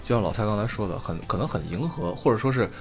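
A man's voice: lowish voice, expressive, fast talker, soft background music. A middle-aged man co-hosting a podcast, thinking aloud in casual, unscripted chat and stressing his points. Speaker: podcast co-host.

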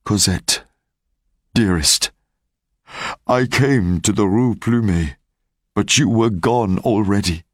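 Earnest sad young man, read by a male narrator, slow and dry. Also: lowish voice, no echo.

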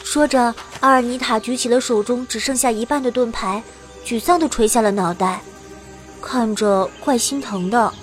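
Actress as chatty cute adventurer girl, high voice, cheeky and boastful, music behind. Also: normal speed, close to an everyday voice. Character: boastful, chatty young female adventurer who also narrates. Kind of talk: audio drama acting with playful narration.

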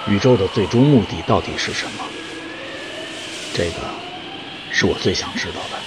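Man as calm low-voiced explorer, noisy background. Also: moderate pace, close to an everyday voice. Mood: thoughtful. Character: brave, philosophical male space explorer. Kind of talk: audio drama acting. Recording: clearly noisy ambience.

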